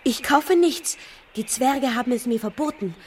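An actress playing a young fairy-tale princess keeping house, wary, fussy and stubborn, in a prim, guarded manner for a children's radio play. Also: female voice, high-pitched, expressive, moderate pace, a little mellow, a little hiss or hum. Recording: slightly distant, faint outdoor-sounding ambience.

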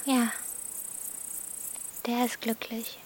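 A woman's voice: fairly high pitch, little pitch movement, very slow, faint music behind. An actress playing a level-headed, shrewd thirteen-year-old girl, terse and matter-of-fact, in a radio drama. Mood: subdued. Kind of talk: German radio drama acting.

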